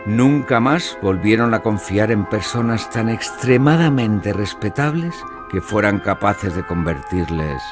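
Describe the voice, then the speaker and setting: A man playing a clever, self-assured gentleman who explains and narrates in a formal, measured style for an audio drama. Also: on the low side, lively intonation, over music. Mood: reflective.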